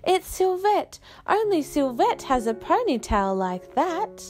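A woman voicing an excitable, teasing youngster in a storybook, with emphatic, exclaiming delivery. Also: very high voice, takes it slowly, soft background music, voice pushed up, heavily acted. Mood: excited. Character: excitable, teasing young friends of a shy girl. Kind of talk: storybook character voice.

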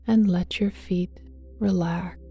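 A woman leading a guided meditation in a gentle, hushed voice that often turns breathy and near a whisper, speaking tenderly to the listener. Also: on the low side, fairly even intonation, takes it slowly. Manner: tender, affectionate address to listener. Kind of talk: guided meditation, slow and soothing. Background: soft meditation music with singing bowls.

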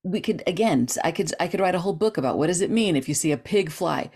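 A middle-aged woman talking casually as a dream expert on a video call, animated and gesturing as she explains. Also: fairly low pitch, fast talker, breathy edge. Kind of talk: casual interview chat.

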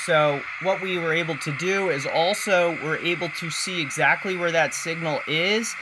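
A man giving a casual, conversational hands-on tech tutorial, explaining as he goes. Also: a little high. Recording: clearly noisy home-video recording.

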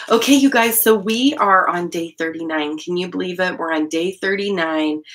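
Middle aged american lady, kinda deep voice, rambly casual bible vlog host. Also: pushed and bright. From Idaho, United States. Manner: rambling, chatty, often breaking off and restarting sentences. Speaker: host of a Bible-reading channel. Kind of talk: casual devotional vlog chat. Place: small indoor room at home.

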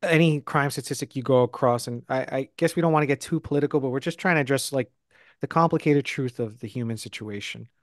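A man argues point by point in a direct, emphatic way. He sits close to his mic with headphones on, and the sound is dry and clear. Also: medium pitch, fast talker, dry recording. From the Bronx, New York. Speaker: podcast guest speaker. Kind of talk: casual panel discussion. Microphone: headset and close studio mic.

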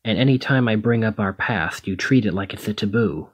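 A man playing a protective, conflicted demigod hero in a one-sided ASMR roleplay, speaking emotively and haltingly to the listener. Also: fairly low pitch, slightly rough. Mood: resigned, hurt. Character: brawny male demigod hero, protective, conflicted, exasperated lover.

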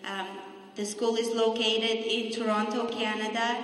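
Slow, even-toned woman in an echoey hall. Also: fairly high pitch. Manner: calm, steady, with frequent ums. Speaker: demonstration commentator. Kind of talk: live commentary over a PA. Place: large gym hall. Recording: echoey hall, far from the mic.

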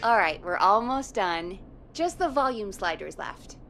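An actress playing a perky, teasing radio producer in a video game, playful and bubbly. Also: female voice, mid-range voice, expressive, unhurried, a bit bright, soft background music. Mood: upbeat, instructing. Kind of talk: game voice acting.

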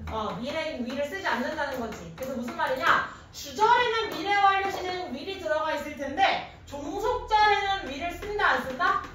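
A woman in her thirties teaching a grammar class in an animated, informal way, often quizzing students with questions. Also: sits high, normal speed, hardly any pauses. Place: indoor classroom at a chalkboard. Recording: echoey classroom from a distance, faint noise.

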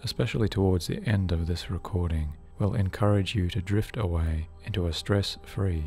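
A man narrating a guided sleep hypnosis session in a soothing, measured, deliberate way, as a hypnotherapist. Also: low-pitched, a little mellow. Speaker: hypnotherapist host. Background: background music.

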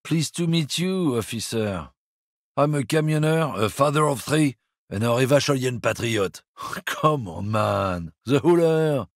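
A sneering bigoted lorry driver. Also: male voice, mid-range voice, animated, a little slow, dry recording, clean studio sound. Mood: swaggering. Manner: boastful, sneering, crude. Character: bigoted middle-aged male lorry driver. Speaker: video game character.

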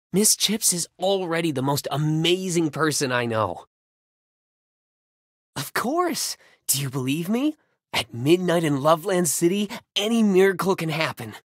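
Boyish, animated young pop singer. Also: male voice, fairly high pitch, dry recording, studio quality. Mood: gentle, caring, then tender, earnest. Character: playful, affectionate young male pop singer. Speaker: voice actor in a mobile romance game. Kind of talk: scripted game phone-call dialogue.

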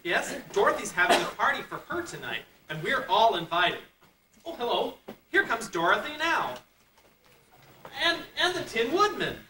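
A woman's voice: on the high side, sing-song, moderate pace, noisy background. A woman playing a cheerful, easily muddled scarecrow in a children's puppet play, with an exclamatory, theatrical delivery. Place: puppet show stage in a convention room. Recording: echoey distant camcorder recording, music behind.

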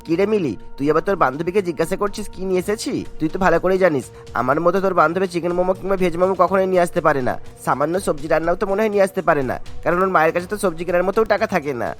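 A man's voice: sits high, racing, hardly any pauses, faint music behind, natural voice. A man voicing a boastful, mocking schoolboy bully in a cartoon. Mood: mocking, sneering.

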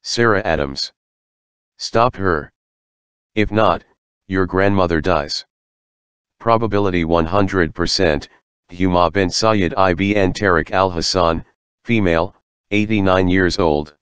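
A menacing artificial intelligence from an audiobook, voiced by a man. The voice is very deep and crisply clear, recorded dry and close. It speaks in clipped, formal phrases with no emotion. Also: slow pace, pauses often, fairly bright tone, no room sound. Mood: cold, insistent. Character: cold, menacing artificial intelligence delivering dire warnings. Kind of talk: audiobook character voice.

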